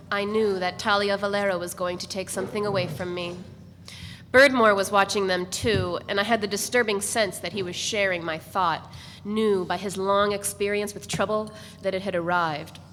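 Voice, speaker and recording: A woman reading literary narration aloud at a steady, measured pace. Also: mid-range voice, long reverb tail, slight background noise.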